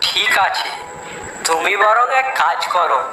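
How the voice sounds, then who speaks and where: A man voicing a kindly talking banyan tree in a cartoon fairy tale, giving gentle counsel. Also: high voice, animated, unhurried. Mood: reassuring. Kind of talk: cartoon storybook acting. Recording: echoey, loud music underneath.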